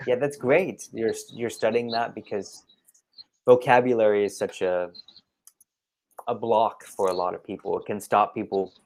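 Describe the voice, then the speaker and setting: A man's voice: on the low side, steady tone, normal speed, a little mellow. Encouraging male English tutor explaining simply. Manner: encouraging, plain step-by-step explaining. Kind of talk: conversational one-to-one tutoring advice. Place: online video call.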